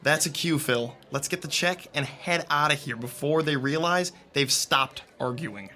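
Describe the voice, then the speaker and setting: An easygoing, gossipy man of the theatre. His voice is bright and on the high side, and he jokes casually in radio drama style over a music bed. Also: measured pace. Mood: wry. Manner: casual, joking. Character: easygoing, joking theatre guy, gossipy and amiable.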